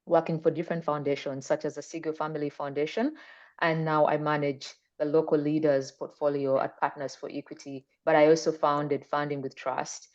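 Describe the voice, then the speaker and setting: A woman's voice: deep voice, level tone, a little fast, a little mellow, highs cut off. A woman from Uganda introducing herself earnestly on a webinar panel. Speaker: panelist, funder. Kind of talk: self-introduction.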